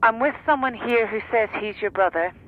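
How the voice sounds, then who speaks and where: An actress playing a matter-of-fact journalist, heard through a telephone filter in a radio drama. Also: female voice, a little high, brisk. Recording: thin, tinny phone line.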